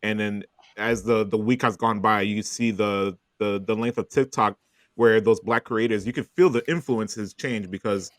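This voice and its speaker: Brief: bright, tense-toned male co-host, dry close recording. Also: lowish voice, steady tone, normal speed, no echo. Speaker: podcast co-host. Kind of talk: casual discussion.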